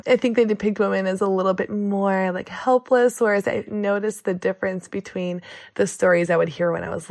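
A woman's voice: medium pitch, creaky, dry recording, studio quality. A young woman from the United States, a podcast guest in casual conversation, thinking aloud with lots of "like" and "you know.".

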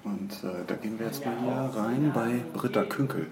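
A man's voice: medium pitch, normal speed, a crowd in the background. A man hosting a podcast, chatting casually as he interviews staff on location. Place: indoors, publishing house office. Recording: echoey office, clear background noise.

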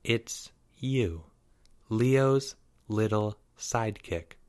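A man's voice: lowish voice, normal speed, lightly acted. A man voicing a sly, scornful schoolgirl in a storybook, cool and mocking. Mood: smug.

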